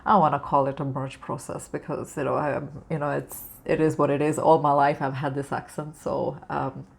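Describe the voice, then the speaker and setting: A woman with a very low, deep voice and a gravelly creak, speaking with a Canadian accent touched by a British-Australian undertone. She rambles through a casual spiritual talk, full of "you know" and restarts. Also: level tone, normal speed, a little mellow. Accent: Canadian with a slight British-Australian undertone. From born outside Canada, raised in Canada. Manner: rambling, many fillers and restarts. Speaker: spiritual vlogger.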